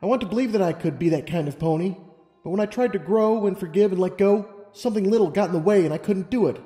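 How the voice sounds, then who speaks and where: A man's voice: high-pitched, quick pace, mellow, reverberant, big-room sound, faint music behind, voice pushed up, lightly acted. A man voicing an anxious, self-doubting young unicorn mare in a storybook reading, earnest and hesitant. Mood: hesitant resolve. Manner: hesitant, stammering protests. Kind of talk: audiobook character reading.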